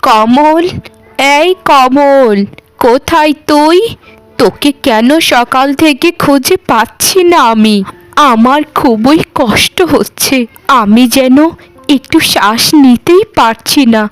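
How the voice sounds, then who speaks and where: A woman's voice: high-pitched, takes it slowly, smooth, dry recording, faint music behind. A woman voicing an arrogant rich mistress in a cartoon, scornful and commanding. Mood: ailing, desperate. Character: haughty rich mistress, later sick and humbled. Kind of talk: cartoon voice acting.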